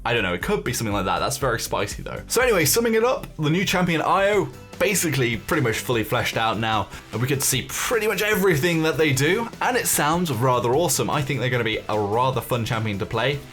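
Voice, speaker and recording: A man's voice: mid-range voice, very animated, quick pace, fairly bright tone. A man hosting a gaming video, giving casual, speculative news commentary in an informal, tentative way, as if thinking aloud. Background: music behind the voice.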